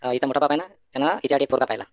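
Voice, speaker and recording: Cartoon casting: brash young village guy played by a man, Varhadi Marathi accent, very quick, dry studio sound. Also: medium pitch, dry recording, lightly acted. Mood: earnest, persuading. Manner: confident, colloquial. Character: brash, take-charge young village friend, male. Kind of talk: comedy cartoon dialogue.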